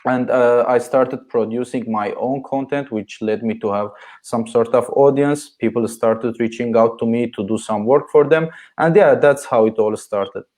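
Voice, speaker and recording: A man's voice: mid-range voice, moderate pace, few pauses, darkish tone. Young man from Syria, earnest interview guest. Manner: earnest, many filler "like"s. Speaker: guest, marketing agency founder. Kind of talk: interview answers.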